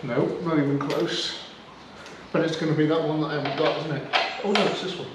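A man's voice: fairly high pitch, a little fast, roomy sound, noisy background. A man, chatting casually to camera and to himself while he works on an old car. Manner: chatty, relaxed, talks to himself while working. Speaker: classic car restoration vlogger. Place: garage or barn workshop.

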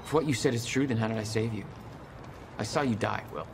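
An actor playing a stubborn, weary action hero in a cinematic video game, terse and dryly defiant. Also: male voice, on the low side, fast talker, noisy background, lightly acted. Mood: doubting.

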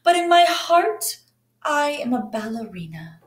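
A woman's voice: high voice, very animated, measured pace, reverberant, pitched above the performer's own voice. A woman voicing a hopeful, sweet young female dinosaur in a picture book, earnest and dreamy.

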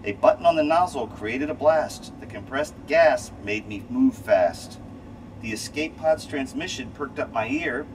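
A man's voice: medium pitch, lightly acted. Man voicing a heroic cat astronaut, noisy hum. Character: brave, confident male cat astronaut and rescuer. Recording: distant mic, loud steady hum.

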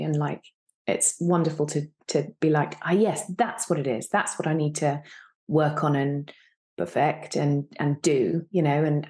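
A woman's voice: low voice, dark tone. A woman in her thirties talking reflectively and earnestly as a guest actor in an interview on a video call. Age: 30s. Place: indoor room on a video call.

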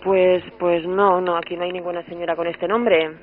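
A woman's voice: on the low side, little pitch movement, moderate pace. A woman with a European Spanish accent, an office employee in a formal phone conversation, speaking in a polite, puzzled and apologetic way. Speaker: radio station office employee. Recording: dull, lo-fi phone call.

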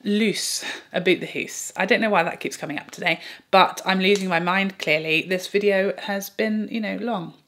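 Woman vlogger, mid-pitched, slightly hoarse and tired, effusive and self-mocking in a chatty review. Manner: gushing, animated and playfully self-mocking. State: hoarse, tired voice after long talking. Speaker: beauty vlogger. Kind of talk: chatty makeup review to camera.

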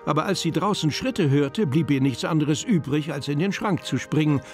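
A man's voice: fairly high pitch, moderate pace, darkish tone, lightly acted. A man narrating a German children's radio play in a scripted storybook style. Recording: music behind the voice.

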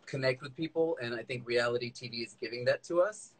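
A man's voice: mid-range voice, fast talker, poor audio. A man hosting a casual chat interview in a gushing, informal way. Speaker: interviewer, drag queen host. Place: indoors, small room. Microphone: phone at arm's length, video call.

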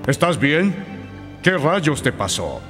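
An authoritative cartoon coach, brisk and slightly high. His expressive voice rings with long reverb. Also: soft background music, full character voice. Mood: stern.

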